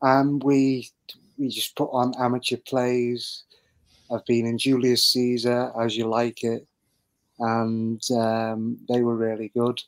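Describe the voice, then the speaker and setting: A man's voice: medium pitch, monotone, slow pace. A man speaking as a podcast guest, modest, polite and hesitant, over an online call. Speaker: guest, amateur actor. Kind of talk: interview chat.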